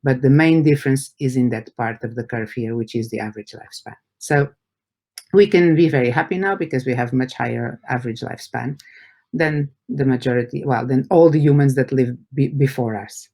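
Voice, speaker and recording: A man's voice: mid-range voice, creaky, darkish tone. Male professor from Portugal giving a lecture. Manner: explanatory, halting with repeated words and rhetorical questions. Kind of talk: academic lecture to an audience.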